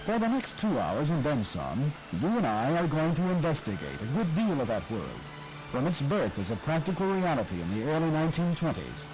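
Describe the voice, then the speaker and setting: A man's voice: a little high, huge pitch swings, brisk, a little mellow, soft background music, low-quality recording. A man hosting a program on radio history, giving a scripted, nostalgic, storytelling narration.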